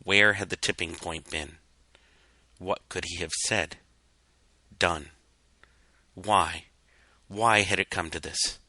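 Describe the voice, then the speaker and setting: A man reading a story as a dramatic narrator, solemn and measured in his delivery. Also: low-pitched, fairly even intonation, bright, forceful tone, no room sound. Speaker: fan fiction reader.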